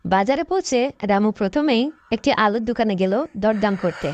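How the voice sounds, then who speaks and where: A woman's voice: mid-range voice, fairly quick, darkish tone, no echo. A woman narrating a scripted cartoon story.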